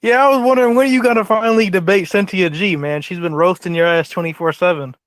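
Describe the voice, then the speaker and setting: A man's voice: high-pitched, fairly even intonation, fast, a bit bright, no room sound. A man calling in to a livestream, taunting the host and giving evasive answers. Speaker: caller. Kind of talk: trolling phone-in.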